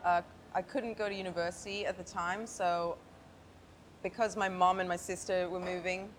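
A woman's voice: fairly low pitch, little pitch movement, moderate pace, slight background noise. A woman guest on a podcast, telling stories from growing up in Hong Kong, laughing often as she talks. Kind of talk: casual chat.

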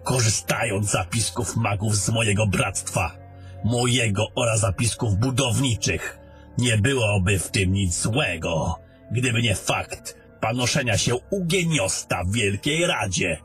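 A man acts out a gruff old fantasy wizard with a low voice. He is haughty and sardonic, grumbling and lecturing a younger soldier in a deliberate way, with music behind him. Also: a bit bright. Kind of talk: fantasy audio drama acting.

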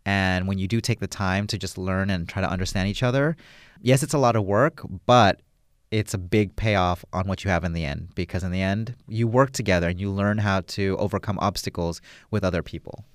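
A man's voice: sits low, brisk, no room sound, clean studio sound. A man, a film director, talking through an interview in a rambling, enthusiastic way with many fillers. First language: English. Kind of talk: casual interview answers.

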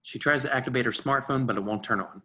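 A man's voice: mid-range voice, fast talker, pushed and bright. A man reading stage directions as scripted narration for a play reading.

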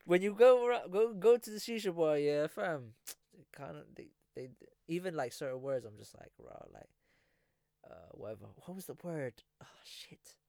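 A man's voice: a little high, expressive, normal speed, vocal fry, dark tone, no room sound. Slangy young man, dry sound. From England. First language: Filipino. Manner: slangy, swearing, stammering restarts. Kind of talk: casual chat.

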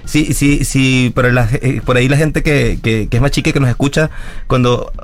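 A guest from Venezuela, speaking earnestly in long explanatory runs with small restarts during a radio interview. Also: male voice, mid-range voice, steady tone, normal speed. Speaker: guest, academic secretary of a school. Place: radio studio.

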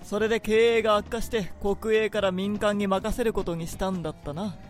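A woman acting a gruff, reluctant, cool half-spirit man in a radio drama, speaking curtly and dryly. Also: mid-range voice, steady tone, a little fast, lightly acted. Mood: puzzled, dry. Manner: curt, dry, masculine phrasing. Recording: music behind the voice.

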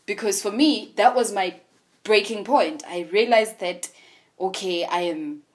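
A woman's voice: mid-range voice, measured pace. A woman in her twenties, hosting a casual conversation and telling her own story in a candid, reflective way. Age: 20s. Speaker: podcast host.

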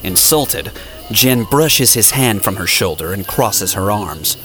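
A man's voice: lowish voice, animated, slow, fairly bright tone. A man reading scripted, dramatic story narration for an audio drama. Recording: music playing behind the voice.

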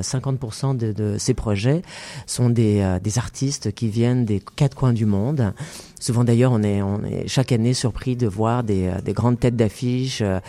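A man from France, a festival founder, answering interview questions in a measured way with many pauses and fillers. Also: mid-range voice, warm tone. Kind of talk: interview conversation.

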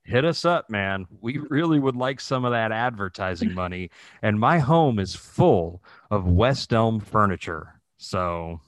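Low-voiced man in slangy podcast banter. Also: animated, unhurried, hardly any pauses, dry recording. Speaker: podcast co-host. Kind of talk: casual chat.

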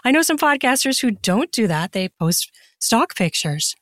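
A woman's voice: on the high side, very animated, normal speed, fairly bright tone, dry recording. Chatty woman in a dry, close recording. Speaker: podcast guest, erotica author and audiobook narrator. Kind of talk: casual conversational interview answers.

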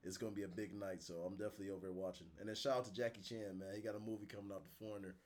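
A man's voice: fairly low pitch, little pitch movement, racing, darkish tone. A young man co-hosting a casual podcast, talkative and brash. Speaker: podcast co-host.

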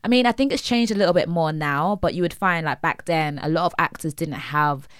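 Low-voiced woman hosting a casual interview. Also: a little fast, clear, resonant tone, no room sound, studio quality. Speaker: podcast host, interviewer.

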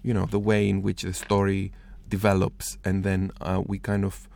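A man co-hosting a casual radio show, hoarse from the flu, with dry self-deprecating banter. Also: deep voice, completely flat delivery, moderate pace, darkish tone.

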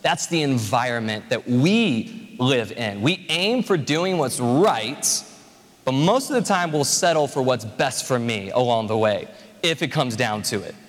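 A man's voice: medium pitch, expressive, measured pace. A man in his twenties preaching a conversational, joking sermon to a congregation. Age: 20s. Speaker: pastor. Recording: reverberant hall.

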